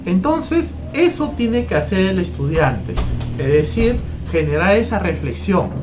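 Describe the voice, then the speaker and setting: A man's voice: high voice, very animated, unhurried, over music. Man teaching, keeps checking "ya?". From Peru. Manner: repeats phrases, keeps asking "¿ya?". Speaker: teacher trainer. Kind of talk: explaining a lesson plan.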